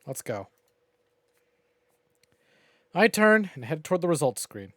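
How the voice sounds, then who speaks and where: A male streamer reading a visual novel aloud with dramatic, expressive narration. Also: on the high side, measured pace, no echo, studio quality. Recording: game music playing behind.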